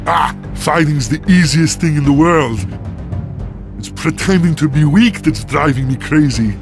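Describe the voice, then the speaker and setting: Brash hungry miner in a game, kinda high voice, impatient and whiny about it. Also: male voice, unhurried, music in the background. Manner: complaining, eager. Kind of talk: dramatic video game cutscene acting.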